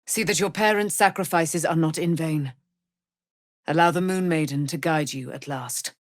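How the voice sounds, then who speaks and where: An actress playing a proud, wrathful immortal warrior, daughter of a moon goddess, declaiming in grand archaic speech for a fantasy game. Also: female voice, deep voice, moderate pace, dry recording, clean studio sound, close to an everyday voice. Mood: solemn, grave. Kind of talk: theatrical fantasy game voice acting.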